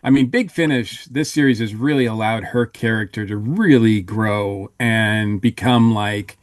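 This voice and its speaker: A man talking casually as a podcast panelist, halting but enthusiastic as he reviews a show. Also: lowish voice, no room sound, studio quality. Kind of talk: casual review chat about an audio drama.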